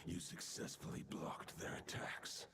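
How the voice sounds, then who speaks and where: Ancient game wolf god acted by a man: grave, gravelly, slow, loud ambience behind. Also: noisy background, natural voice. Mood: solemn, grave. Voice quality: gravelly, breathy. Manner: grave, formal, archaic phrasing. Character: ancient solemn male wolf god, weary and proud.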